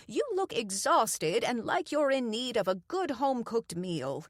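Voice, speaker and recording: A woman voicing a cheerful, fussing elderly woman who dotes on her guest, in a high, loud, slow, doting voice. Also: very animated, no echo, clean studio sound. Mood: doting, concerned.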